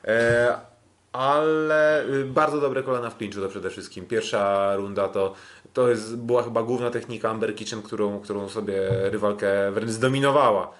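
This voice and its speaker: Podcast host, male, slightly low voice, casual sports analysis, hesitant delivery full of filler pauses. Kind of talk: sports recap commentary, casual chat.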